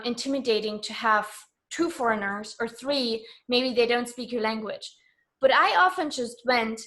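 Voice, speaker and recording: Higher-voiced woman from Germany, chatting candidly. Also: a bit bright. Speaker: interview guest. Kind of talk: casual interview chat.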